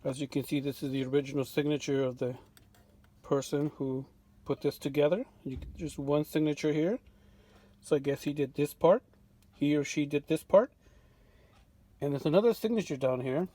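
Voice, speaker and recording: A man giving an unscripted show-and-tell of his restoration work in a home workshop, relaxed and full of fillers and restarts. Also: fairly high pitch, normal speed, pauses often. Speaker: vintage radio restorer.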